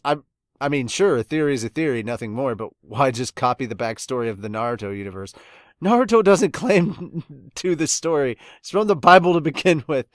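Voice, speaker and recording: A man chatting casually as a podcast host, reading comments aloud and reacting in an animated but halting way. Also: mid-range voice, no room sound, studio quality.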